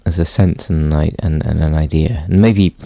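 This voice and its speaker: A man musing reflectively and hesitantly, often pausing to search for words. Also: very deep voice, steady tone, gravelly, mellow, no room sound. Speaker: interviewee.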